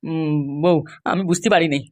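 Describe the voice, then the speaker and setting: A woman's voice: deep voice, fairly even intonation, moderate pace, close to an everyday voice. A woman voicing a meek, henpecked husband in a comedy cartoon, pleading and placating.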